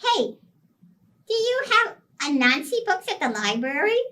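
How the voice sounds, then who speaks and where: A small, excitable storytime puppet, acted out by a woman, with a squeaky, very high voice. It exclaims eagerly like a young child, swinging up and down, heard a little way from the mic. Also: a little slow, recorded from a distance, full character voice. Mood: excited.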